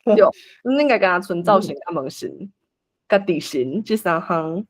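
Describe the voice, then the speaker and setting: A Taiwanese-accented host with a very lively, swinging pitch in a medium register. The delivery is casual and coaxing, as in an online group organizing who reads which role. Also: moderate pace, a crowd in the background. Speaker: host assigning roles for a script read-along. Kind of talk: casual online meeting chat.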